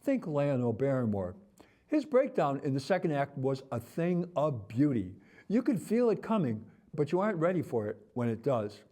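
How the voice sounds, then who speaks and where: A man reading a scripted theater review as a critic, with articulate, expressive and theatrical phrasing. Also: mid-range voice, moderate pace.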